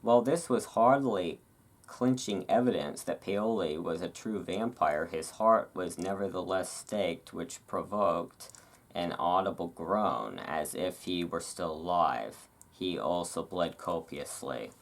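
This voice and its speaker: Casting: low-pitched, slow-paced reader for relaxed ASMR storytelling, with chatty, informal commentary. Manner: informal, chatty, musing asides between read passages. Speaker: host reading aloud. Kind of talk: relaxed ASMR-style reading of vampire history with casual asides.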